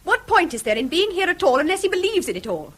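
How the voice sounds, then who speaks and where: Intense, high-voiced English schoolmaster's wife. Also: female voice, sing-song, fast talker, a bit bright, exaggerated voice. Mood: agitated, pleading. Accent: English, received pronunciation. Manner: emphatic, pleading, proper. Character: earnest, strained schoolmaster's wife, intensely dutiful. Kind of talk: mid-century British radio drama acting.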